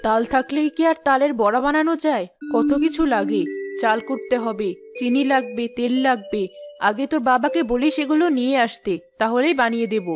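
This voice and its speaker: A woman acting a practical village mother from a cartoon, quick to scold and get on with things. Her voice is fairly high, with music clearly audible behind it. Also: lightly acted. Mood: impatient, scolding. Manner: practical, no-nonsense. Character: busy, brisk, mildly scolding village mother.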